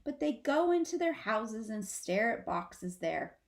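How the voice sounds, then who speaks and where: A storybook mother possum, acted out by a woman, speaks in a fairly high voice. She is protective and solemn, laying out warnings for her little ones with firm emphasis. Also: big pitch swings, a little slow. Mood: emphatic warning.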